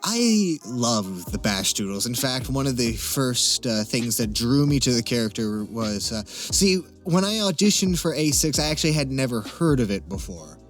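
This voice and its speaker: A man's voice: medium pitch, big pitch swings, normal speed, hardly any pauses, faint music behind. A man chatting casually as a Q&A guest, talking in a hesitant, wry and self-deprecating way. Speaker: interview guest, amateur voice actor. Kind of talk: casual Q&A chat.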